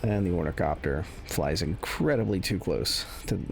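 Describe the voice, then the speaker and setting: A man giving casual, unscripted commentary as a gaming video creator, explaining things in a halting way. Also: low-pitched, lively intonation, creaky.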